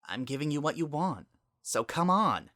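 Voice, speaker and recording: A young female pony from a dramatic storybook, acted by a man, pitched high and bright. She is stubborn and pleading, with a sharp, agitated edge. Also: very animated, normal speed, no echo, studio quality. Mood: defiant.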